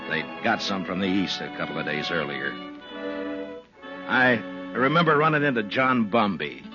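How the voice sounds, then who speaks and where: A deep, expressive male voice as a modest frontier marshal in a vintage radio western. He talks in a plain, easygoing, hesitant way, on a dull old recording with music. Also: normal speed. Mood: warmly reminiscing. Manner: conversational, hesitant, plain-spoken. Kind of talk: 1950s radio western acting and narration.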